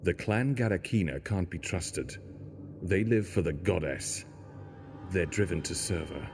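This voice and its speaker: A man's voice: low voice, a little slow, a little mellow, faint music behind. A man playing a weary, pessimistic stranded engineer in an audio drama, talking in a grave way. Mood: defensive, grave. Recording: long hollow reverb.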